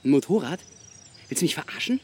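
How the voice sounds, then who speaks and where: A young actor playing a grumbling, sarcastic backpacker in a naturalistic radio drama, talking in slang. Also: male voice, fairly high pitch, very animated, fast talker, husky. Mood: wry, incredulous. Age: young adult.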